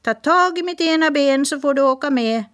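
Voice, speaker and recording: A woman voicing a chatty female parrot with a very high, shrill squawking voice that repeats its greetings. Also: a bit bright. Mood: chirpy.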